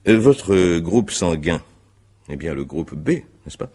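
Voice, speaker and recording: A man playing an examining magistrate in a radio mystery, courteous but insistent, with formal, deliberate phrasing. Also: sits low, sing-song, warm tone, breathy edge, heavily acted. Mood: methodical, probing. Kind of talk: French radio mystery drama acting.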